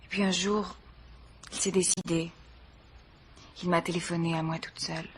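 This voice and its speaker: An actress playing a grieving, dignified bourgeois mother in a radio mystery, speaking formally in a measured, sorrowful way. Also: fairly low pitch, level tone, slight background noise, close to an everyday voice. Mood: sorrowful, restrained. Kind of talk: French radio mystery drama acting.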